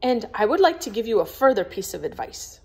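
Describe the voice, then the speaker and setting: A woman's voice: mid-range voice, sing-song, fairly quick, warm tone, voice pushed up. A woman voicing a proud, stiff young lady in a storybook, with a prim, formal, scolding manner. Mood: impatient, lecturing.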